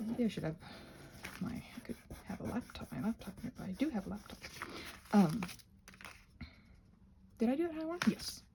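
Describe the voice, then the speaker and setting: Whimsical rambling woman with very animated pitch. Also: moderate pace, creaky, pauses often, background noise. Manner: whimsical, theatrical, self-interrupting, talks to objects. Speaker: craft video creator demonstrating butterfly wing prep. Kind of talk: rambling casual craft tutorial with asides to self. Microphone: phone recording.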